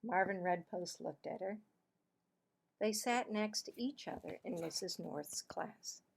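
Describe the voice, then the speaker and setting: A woman reading a children's story aloud in an expressive, deliberate way, with the odd stumble over words. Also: lowish voice, creaky, husky, low-quality recording.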